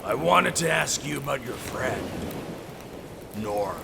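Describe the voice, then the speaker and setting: A man's voice: medium pitch, animated, takes it slowly, vocal fry, background noise, heavily acted. A man playing a mad ship captain in an audio drama, theatrically jovial with menace underneath, pompous and falsely chummy. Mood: slyly probing.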